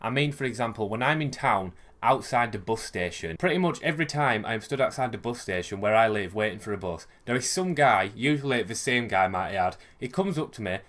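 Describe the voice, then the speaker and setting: A man's voice: lowish voice, lively intonation, a little fast, hardly any pauses, fairly bright tone. Sweary young Yorkshire man ranting. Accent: West Yorkshire. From West Yorkshire, England. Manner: sweary, sarcastic, exasperated. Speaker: vlogger. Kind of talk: ranting comic monologue to camera.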